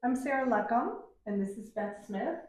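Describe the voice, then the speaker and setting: A woman examiner reads out test instructions slowly and clearly. Her voice sits a little high. It echoes around a room and is picked up from some way off. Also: expressive, a little mellow. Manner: clear, slow, deliberate questioning. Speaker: examiner, English teacher. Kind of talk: mock speaking exam questions. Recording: echoey room, mic at a distance.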